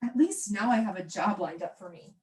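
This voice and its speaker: A woman's voice: medium pitch, lively intonation, fairly quick, darkish tone, natural voice. A young woman acting in a stage play as a defiant, wounded wife who longs to sing. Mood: resolute, upset. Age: young adult. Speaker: student actor.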